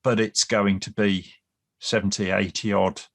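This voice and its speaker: A man with a fairly low voice lays out his points at length in a relaxed podcast discussion. He restarts his phrases often and slips in dry humour. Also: a little slow, gravelly. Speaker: guest expert on Cold War British Army.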